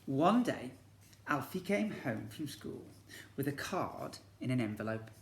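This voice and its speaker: A man's voice: mid-range voice, sing-song, measured pace. A man, a teacher reading a children's story expressively and breaking off to ask his young listeners questions. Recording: echoey room.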